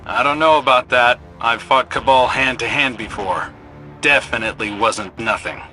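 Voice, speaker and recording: A man's voice: mid-range voice, moderate pace, pushed and bright, close to an everyday voice. A man playing a no-nonsense military operator in a video game, giving clipped, businesslike mission updates. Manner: clipped, businesslike, plain-spoken. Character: no-nonsense male military pilot and tactical operator. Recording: faint music behind the voice.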